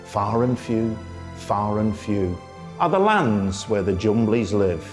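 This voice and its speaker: A man reciting a children's poem as a storyteller, in a theatrical, singsong way with drawn-out phrasing. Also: lowish voice, big pitch swings, soft background music. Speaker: storyteller, radio presenter. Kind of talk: expressive children's poetry recitation.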